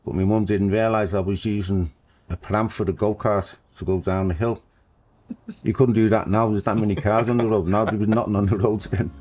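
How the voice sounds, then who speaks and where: A man's voice: fairly low pitch, steady tone, a little fast, a little mellow. A man, reminiscing easily about childhood in a documentary interview. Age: 60s.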